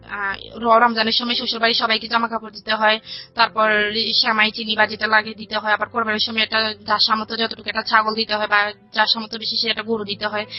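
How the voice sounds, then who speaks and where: A young woman, telling a personal story as an interview guest in a rambling, repetitive way. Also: fairly high pitch. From rural Bangladesh. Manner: rambling, repeats phrases, quotes family conversations. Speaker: radio show guest telling her life story. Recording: dull lo-fi audio with music behind. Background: background music.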